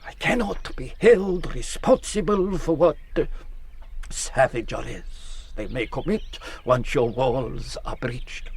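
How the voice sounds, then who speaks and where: An actor playing a courteous, shrewd French general, formal and persuasive with quiet menace, in a period radio drama. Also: male voice, mid-range voice, takes it slowly, a little hiss or hum. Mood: quietly menacing.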